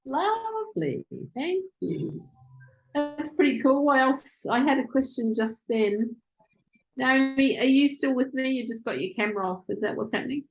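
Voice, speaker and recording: A woman interviewing virtual assistants on a video call, excitable and quick to speed up when she gets going. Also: sits high, highs cut off, soft background music.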